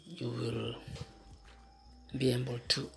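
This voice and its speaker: A young man with a breathy voice talking casually to vlog viewers, haltingly and searching for words. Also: medium pitch, little pitch movement, soft background music. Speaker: vlogger.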